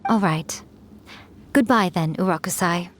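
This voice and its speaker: A woman's voice: fairly low pitch, a little slow, a little hiss or hum, lightly acted. A woman playing a playful, centuries-old yokai in a video game, with a smug, teasing, theatrical manner. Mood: fond, playful.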